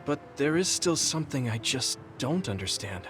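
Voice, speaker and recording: A man's voice: mid-range voice, sing-song, moderate pace, lightly acted. An actor playing an earnest, questioning young male soldier in a video game cutscene. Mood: puzzled, earnest. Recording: music behind the voice.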